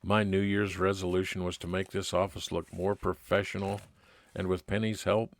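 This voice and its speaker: Man playing a low-voiced 1950s private eye. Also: little pitch movement, moderate pace, no room sound. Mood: reflective. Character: 1950s private detective narrating his own case, kindly, laconic. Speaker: narrator and lead actor. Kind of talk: old-time radio detective drama narration.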